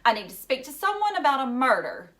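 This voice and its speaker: A woman's voice: high voice, very animated, brisk, bright, forceful tone, voice pushed up, exaggerated voice. A woman reading a storybook as an urgent, stubborn young schoolgirl, speaking insistently and with emphasis.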